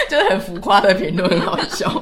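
A woman's voice: mid-range voice, fairly quick, a crowd in the background. A woman with a Taiwanese Mandarin accent co-hosting a casual podcast, telling stories in a giggly, chatty way. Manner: giggly, animated storytelling. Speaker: podcast co-host. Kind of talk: casual chat.

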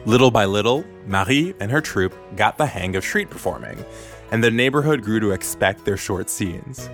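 A man narrates a podcast story in a measured, even way. His voice sits a little low. Soft music plays faintly behind him. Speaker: podcast host. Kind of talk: scripted narration.

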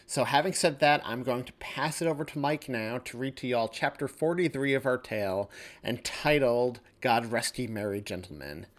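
Man, podcast host, mid-pitched, effusive, introductory talk. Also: moderate pace.

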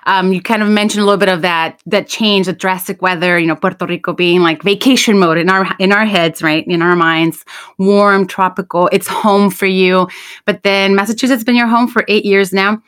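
A woman hosting a podcast, introducing a guest and asking measured, friendly questions. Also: mid-range voice, steady tone, fairly bright tone. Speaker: podcast host.

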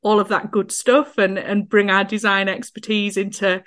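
A woman's voice: medium pitch, flat delivery, normal speed, a bit bright. Yorkshire woman in her 30s, podcast guest, chatty and cheerful, laughs a lot. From North Yorkshire, England. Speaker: guest, accessibility consultant. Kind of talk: casual podcast interview.

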